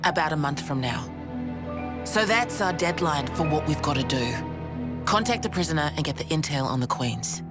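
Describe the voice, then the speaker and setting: An actor voicing a gruff, matter-of-fact, world-weary resistance leader in a video game cutscene. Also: male voice, fairly high pitch, animated, normal speed, lightly acted. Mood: grave briefing. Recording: dramatic game music behind voice.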